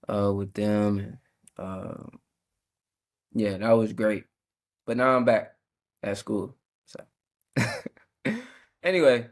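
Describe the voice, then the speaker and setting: Congested early-20s male podcast host, low-ish voice, relaxed and rambling. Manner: laid-back, rambling, with frequent fillers and small laughs. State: congested, sucking a cough drop.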